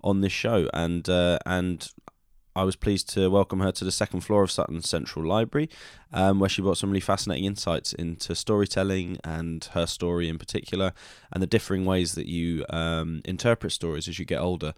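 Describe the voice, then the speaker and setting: A man hosting a conversational podcast and interviewing a guest, softening his phrasing as he goes. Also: sits low, fairly even intonation, fairly quick, smooth, no echo, studio quality.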